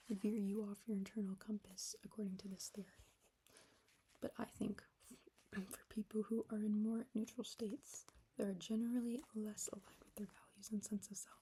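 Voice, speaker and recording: A young adult woman with a breathy, whispery voice, reading her own reflective writing aloud to viewers in a subdued monotone. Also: medium pitch, moderate pace, low-quality recording. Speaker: vlogger.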